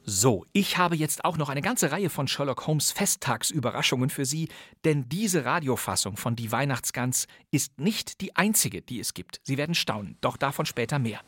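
An enthusiastic middle-aged man presents old radio crime dramas in a scripted, knowing podcast narration. His voice is mid-pitched and sits close to the mic. The studio sound is dry and dead. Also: expressive, moderate pace, a bit bright, husky, dry recording, soft background music. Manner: enthusiastic, knowledgeable storyteller.

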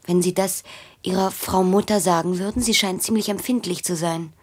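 An actress playing a cheeky, defiant mistress, flippant and blunt, in a German radio drama. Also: female voice, fairly low pitch, steady tone, moderate pace, a little mellow, slightly rough. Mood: blunt, irritated. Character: cheeky, defiant, tipsy mistress of an older man.

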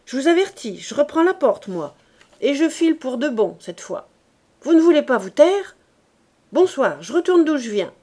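A woman's voice: fairly high pitch, expressive, normal speed, close to an everyday voice. A woman reading as a surly, snapping young man. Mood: furious. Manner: snappish, loud, dismissive. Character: young man, irritable, brusque surly partner.

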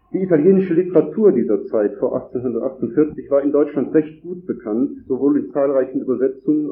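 A man with a cold gives a formal academic lecture at a conference, reading long, measured sentences from a script. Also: medium pitch. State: has a cold. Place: conference hall. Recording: echoey hall over a tinny, phone-like line.

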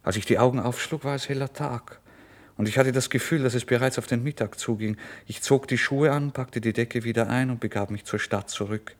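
A man narrating a literary first-person story in a brooding, expressive way, moving between hushed and emphatic delivery. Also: medium pitch, fairly quick. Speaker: audiobook narrator.

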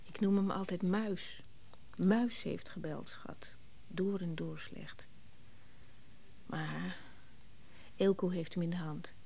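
An actress playing a distraught, guilt-ridden woman in grief in a radio drama, halting and repeating words in a breathy, often whispering voice. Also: on the low side, steady tone, dark tone, a little hiss or hum. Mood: bitter, dazed.

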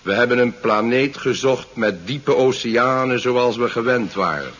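A calm, patronising Martian overlord in an old science fiction radio play. He speaks slowly and deliberately, with a slightly husky voice, on a dull vintage recording. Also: mid-range voice. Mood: solemn narrating. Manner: measured, soothing, patronising, speech-making. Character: calm, condescending Martian captor addressing his defeated human prisoner. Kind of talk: 1970s science fiction radio drama acting.

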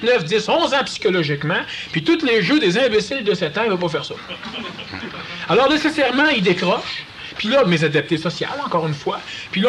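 A man with a Quebec French accent giving a lively, conversational talk to an audience and acting out quoted voices. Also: high-pitched, a little hiss or hum. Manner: animated, acts out quoted voices. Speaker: lecturer.

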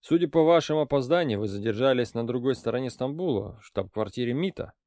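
A man reading an audiobook, voicing a shrewd, calm older intelligence officer who speaks in a dry, ironic, lecturing way. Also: mid-range voice, fast talker, no echo, lightly acted. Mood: wry.

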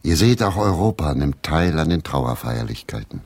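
An actor playing a genial, theatrical stage player in a radio play, with a gravelly voice and expansive delivery. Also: male voice, extremely low pitch, big pitch swings, moderate pace, darkish tone, no echo, heavily acted. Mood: courtly.

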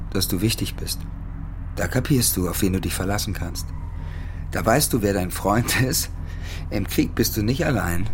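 An actor playing a brooding, cynical young ex-soldier in a radio drama, terse and challenging. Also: male voice, low voice, background noise. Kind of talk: German radio drama dialogue.

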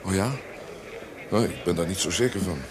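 An actor playing an anxious, fickle eighteen-year-old poet in a theatrical radio drama style. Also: male voice, low voice, moderate pace, natural voice. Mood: flustered, doubting. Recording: noisy radio play recording with clicks.

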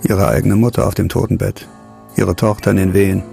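An actor in a German radio crime drama playing a cynical, menacing police sidekick, mocking and gravelly in his delivery. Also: low voice, little pitch movement, brisk, mellow, faint music behind. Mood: bitter. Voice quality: gravelly, creaky. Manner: mocking, sardonic.

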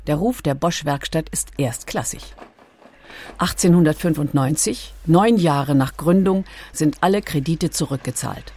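A woman reading scripted documentary narration in a composed, clearly articulated manner. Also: low voice, a little slow, dark tone, a little hiss or hum. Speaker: audiobook narrator.

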